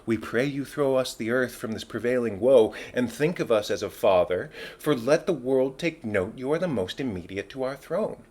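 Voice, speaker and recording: A man playing a commanding middle-aged king in a dramatic Shakespeare reading, formal and declamatory, with smooth authority. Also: medium pitch, animated, normal speed, hardly any pauses, darkish tone, natural voice. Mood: stern, reproving. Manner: declamatory, stately.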